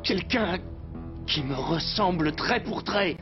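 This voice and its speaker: An earnest rebel leader in a cartoon. His voice is slightly high and very expressive, dramatic and decisive. It sounds a bit dull, over music. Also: takes it slowly. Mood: bewildered. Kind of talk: French cartoon dub acting.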